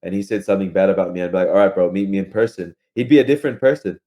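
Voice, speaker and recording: Low-pitched man, slangy casual talker. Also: rapid-fire, dull audio. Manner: slangy, peppered with "bro" and "man". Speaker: podcast co-host. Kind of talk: casual chat.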